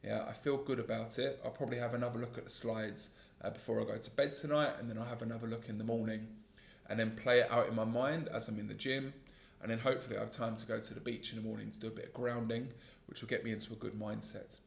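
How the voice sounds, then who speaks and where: Gravelly male podcaster in his 30s, mid pitch, calm and earnest, reflective solo monologue, echoey home setup. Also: fast talker. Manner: calm, earnest, self-critical, with frequent restarts. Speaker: podcast host and sales trainer.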